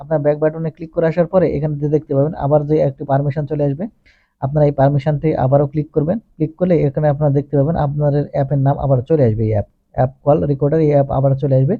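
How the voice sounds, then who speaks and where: A man's voice: on the high side, fairly even intonation, racing, no echo. A man presenting a step-by-step tech tutorial as a voice-over, with repetitive, methodical phrasing. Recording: dull, lo-fi close recording.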